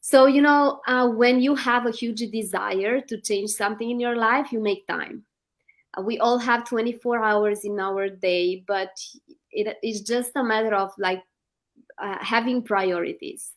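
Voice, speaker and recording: A woman's voice: fairly high pitch, steady tone, unhurried. A woman in her thirties from Romania, a guest in a live online interview, talking earnestly with many ums and restarts.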